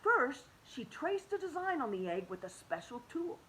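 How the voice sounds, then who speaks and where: A woman reading a children's picture book aloud, expressive and animated, dropping to soft hushed tones. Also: sits high, moderate pace, a little hiss or hum. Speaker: children's story reader.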